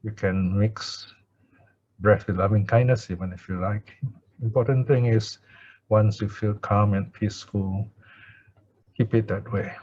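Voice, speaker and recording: A man who is a Buddhist teacher leading chanting and guided meditation in a calm, measured way with pauses, on dull audio. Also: lowish voice, breathy edge.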